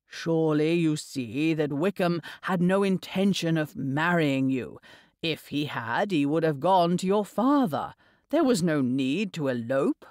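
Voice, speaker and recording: A woman voicing a stern middle-aged gentleman in an audiobook, measured, reproving and exasperated. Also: deep voice, expressive, no echo, clean studio sound, heavily acted. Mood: fading patience.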